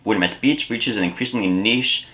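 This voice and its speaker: A man playing an earnest, awkward speech therapy patient in a deadpan, wordy way. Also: on the low side, normal speed, voice pushed down, poor audio.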